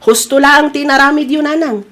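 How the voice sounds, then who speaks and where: A woman's voice: high voice, fairly even intonation, fairly quick, fairly bright tone. A woman playing a judgmental, fretful grown daughter in a comedy drama, gossipy and disapproving, with an Ilocano accent. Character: judgmental, fussy grown daughter, anxious and snobbish.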